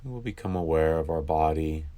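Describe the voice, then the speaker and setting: A man with a remarkably low, deep voice leads a guided meditation for sleeplessness. He speaks calmly and instructively. He leaves long silent pauses between phrases.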